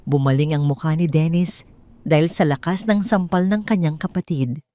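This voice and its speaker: A woman with a Filipino accent narrating a scripted dramatic story in a measured, formal storytelling manner. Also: low voice, mellow.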